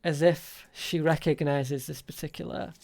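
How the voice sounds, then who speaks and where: A man narrating an improvised tabletop game as game master, halting and pausing between short phrases. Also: fairly high pitch, fairly even intonation, creaky, a little mellow.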